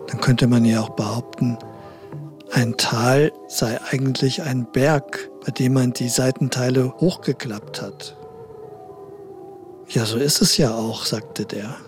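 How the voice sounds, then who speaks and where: An actor playing a chatty lone hiker with a cold, musing to himself in a wry, rambling way outdoors in the mountains. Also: male voice, mid-range voice, slow pace, faint music behind, close to an everyday voice. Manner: wry, musing, self-talking. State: has a cold. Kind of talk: inner monologue and dialogue.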